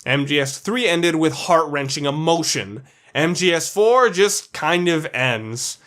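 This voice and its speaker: A man's voice: on the high side, animated, a little slow, fairly bright tone. A man narrating an opinionated video essay as its host, in an informal way with some swearing.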